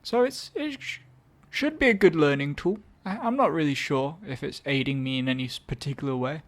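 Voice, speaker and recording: Rambling English man with a higher voice. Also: fairly quick. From England. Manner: rambling, self-correcting, wry asides. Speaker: podcast host. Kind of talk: casual solo chat introducing songs.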